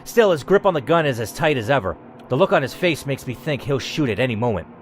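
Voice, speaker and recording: A man's voice: mid-range voice, very animated, fast talker, a little hiss or hum. A man hosting a gaming video, narrating a text adventure theatrically, with casual, blunt, sweary asides.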